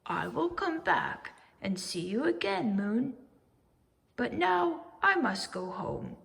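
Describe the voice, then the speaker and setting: A woman voicing a friendly, simple-hearted male owl from a storybook, speaking earnestly and deliberately. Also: a little high, very animated, gravelly, fairly bright tone, in a large hall, exaggerated voice. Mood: fond.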